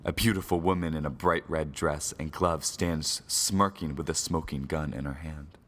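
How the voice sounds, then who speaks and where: A man's voice: extremely low pitch, steady tone, normal speed, fairly bright tone. A man narrating an old-time radio crime serial in a dramatic scripted style.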